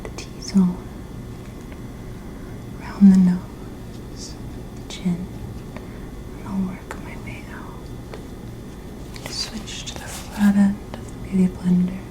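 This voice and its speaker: A woman speaking in a breathy whisper, gently and politely explaining each step as a makeup artist in an ASMR role-play. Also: lowish voice, monotone, extremely slow, pauses often, low-quality recording. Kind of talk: ASMR role-play, personal-attention beauty treatment.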